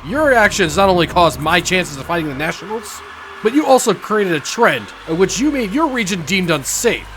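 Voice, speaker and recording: A man's voice: high-pitched, sing-song, normal speed, a bit bright, a little hiss or hum. A man playing a vengeful, anguished tournament fighter in a visual novel, bitter and impassioned. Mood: bitter, righteous. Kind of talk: acted visual novel dialogue.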